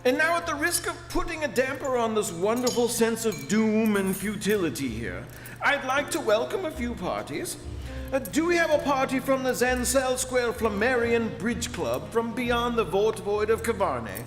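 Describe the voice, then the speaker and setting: A man's voice: very high-pitched, big pitch swings. An actor playing a smarmy master of ceremonies in a live stage radio play, grandiose and unctuous, drawing out his phrases. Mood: grandiose doom. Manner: grandiose, unctuous, drawn-out showmanship. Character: smarmy male showman compere at the end of the universe. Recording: echoey hall, live stage with music.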